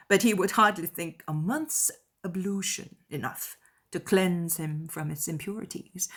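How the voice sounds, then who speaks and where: A woman plays a young gentlewoman from a classic novel, witty and full of spirit. Her delivery is emphatic and archly ironic, with a slightly husky edge to the voice. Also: medium pitch, lightly acted. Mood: scornful, sure. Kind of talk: dramatized classic novel audiobook.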